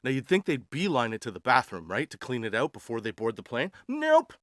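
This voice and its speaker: Male, 40s. Sarcastic comic storyteller, crude and slangy, mid-pitched, recorded dry. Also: wildly swooping pitch, brisk, a bit bright, no room sound. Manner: slangy, crude, sarcastic, addressing listeners as dude. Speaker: podcast host telling travel stories. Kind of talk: casual comedic storytelling.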